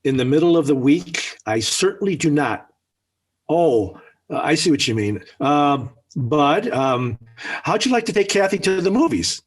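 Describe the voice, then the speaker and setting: A genial American suburban father in a 1940s radio comedy. His voice is fairly high and husky, swinging widely in pitch as he hems and then lays down the law. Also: lively intonation, unhurried. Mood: fatherly, hemming. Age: middle-aged. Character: genial, talkative suburban father trying to preside. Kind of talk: 1940s radio sitcom acting. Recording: slightly rough 1940s radio recording.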